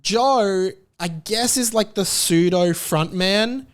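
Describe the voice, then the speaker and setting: Australian male podcast host, casual and self-mocking, slightly high, clear tone. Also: slow pace. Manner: rambling, self-deprecating, full of filler likes. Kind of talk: casual solo podcast chat.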